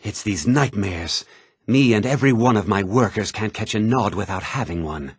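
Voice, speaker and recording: Troubled villager in a fantasy game: subdued, tired, low, husky. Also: male voice, expressive, normal speed, dry recording. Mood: tired, uneasy. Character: tired, troubled townsman sharing local news. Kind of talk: fantasy role-playing game dialogue.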